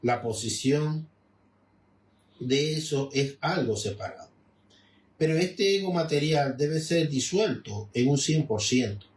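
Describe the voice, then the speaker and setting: A man reading a devotional text aloud and explaining it in a measured way with frequent restarts. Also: a little high, lively intonation, distant mic. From Venezuela. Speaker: religious lecturer reading and commenting. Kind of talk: spoken reading with commentary. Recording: echoey room, off-mic with faint noise.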